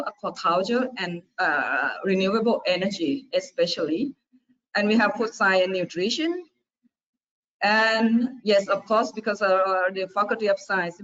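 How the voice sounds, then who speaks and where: A middle-aged woman from Thailand with a Thai accent, giving a relaxed, friendly conference presentation in short phrases. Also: medium pitch. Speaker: conference speaker. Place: conference hall. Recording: dull, muffled conference recording.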